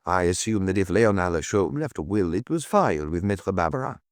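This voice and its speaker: A man plays a calm male chief inspector in an audiobook. His voice is on the low side and very dry. He questions in a measured, courteous way, quietly persistent and probing. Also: no room sound. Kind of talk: audiobook character dialogue.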